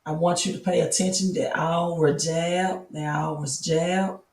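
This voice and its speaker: A woman with a raspy, hoarse voice hosting a casual late-night live stream from home, chatting slowly and greeting viewers by name. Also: lowish voice, steady tone, a little mellow, echoey room, distant mic. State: hoarse from yelling while coaching. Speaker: crypto and news live streamer. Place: at home at a laptop.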